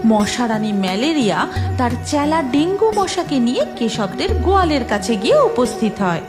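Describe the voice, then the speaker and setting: A woman's voice: fairly high pitch, big pitch swings, fairly quick, over music. A woman narrating a scripted children's cartoon story.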